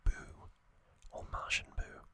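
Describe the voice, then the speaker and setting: An ASMR host whispering slowly, rambling through trivia with fillers and musings. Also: pushed and bright. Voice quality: unvoiced whisper.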